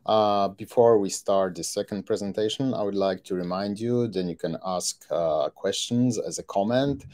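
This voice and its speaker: A man with a fairly low voice who hosts an online tech meetup. He welcomes the audience back and introduces the next speaker. He talks haltingly, with plenty of fillers. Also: a little mellow. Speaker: meetup host. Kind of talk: event moderation.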